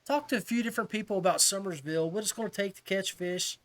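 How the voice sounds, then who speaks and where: A man's voice: sits high, fast, fairly bright tone. An American man hosting a casual fishing podcast, chatting with guests in a friendly, chummy and appreciative way. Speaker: podcast host.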